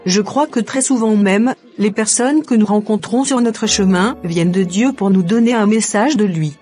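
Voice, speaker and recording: A woman acting a devout young woman in a cartoon drama, earnest and trusting. Music plays under her scripted dialogue, and her pitch sits at an ordinary height for a woman. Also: normal speed, mellow, lightly acted. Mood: earnest, insistent.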